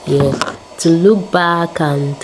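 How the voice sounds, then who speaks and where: A woman's voice: lowish voice, slow, slight background noise, rough recording. A woman in her late twenties vlogging in a casual, upbeat way, repeating words and adding prayerful asides. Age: 20s. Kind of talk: casual faith-filled vlog talk.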